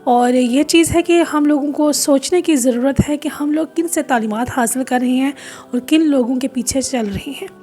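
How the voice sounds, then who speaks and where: A woman's voice: high-pitched, moderate pace, a little mellow. A woman hosting a reaction video, addressing viewers with courteous, devout greetings and formal phrasing.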